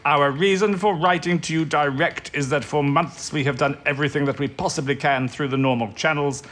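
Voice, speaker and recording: An actor playing an indignant, authoritative superior in a wartime audio drama. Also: male voice, on the high side, steady tone, brisk, a bit bright, close to an everyday voice. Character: indignant, authoritative British male superior officer. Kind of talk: period radio drama acting.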